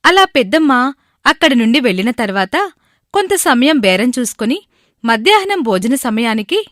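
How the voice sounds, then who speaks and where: A woman's voice: fairly high pitch, very animated, moderate pace, a bit bright, dry recording, studio quality. A woman reading a scripted narration of a moral story.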